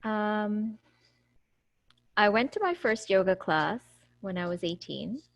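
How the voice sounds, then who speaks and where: A woman's voice: medium pitch, animated, slow pace, creaky, lo-fi sound. Indian-born woman yoga teacher on a call. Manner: warm, laughs easily, many fillers. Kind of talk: casual talk on a video call. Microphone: video call.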